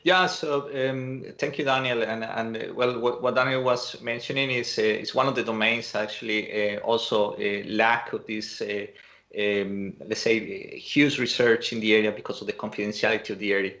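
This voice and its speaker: Hesitant Colombian man, gravelly voice. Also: fairly low pitch, fairly even intonation, normal speed, a bit bright, dull audio. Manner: hesitant, many fillers and restarts. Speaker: presenter. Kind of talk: online conference talk.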